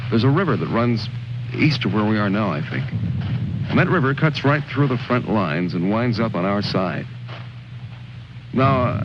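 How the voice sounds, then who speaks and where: An actor playing a composed, intellectual army lieutenant in an old war film, articulate, wry and self-assured. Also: male voice, on the low side. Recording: muffled old film soundtrack, very noisy.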